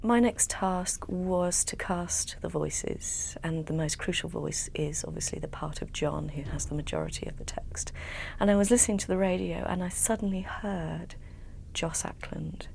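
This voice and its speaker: A woman's voice: on the low side, fairly even intonation, moderate pace, vocal fry, darkish tone, a little hiss or hum. A woman talking in an interview as an artist explaining her work. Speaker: sound artist and composer. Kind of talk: interview talk.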